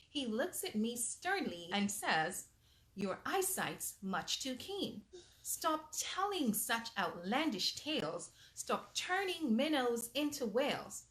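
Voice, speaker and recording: A woman's voice: fairly high pitch, slow pace, low-quality recording. A woman hosting a storytime, reading a picture book aloud expressively to children with patient, motherly asides. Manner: animated, patient parental asides.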